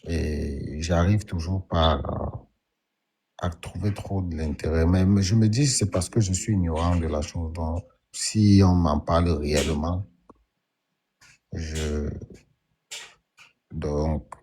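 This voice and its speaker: A man's voice: sits low, slow, pauses often, darkish tone, slight background noise. A man calling in to a live stream, speaking hesitantly and carefully, often restarting his sentences. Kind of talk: casual call-in talk.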